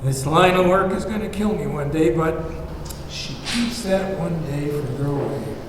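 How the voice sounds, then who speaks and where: A man playing a smug, self-righteous hired kidnapper with a condescending, measured delivery in a script table read. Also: a little high, expressive. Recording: very echoey, distant, faint noise.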